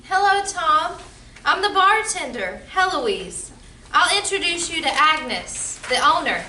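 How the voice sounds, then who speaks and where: A woman's voice: sits high, very animated, slow, pushed and bright, full character voice. A woman playing a cheeky, chirpy bartender in an amateur old-time radio play. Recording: roomy, distant mic, faint noise.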